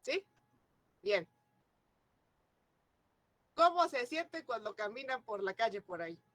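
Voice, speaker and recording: A woman's voice: on the high side, very animated, slow pace, fairly bright tone, no room sound. A Mexican woman teaching a class, explaining ideas and often checking in with her students through questions. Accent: Mexican Spanish. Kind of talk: classroom lecture.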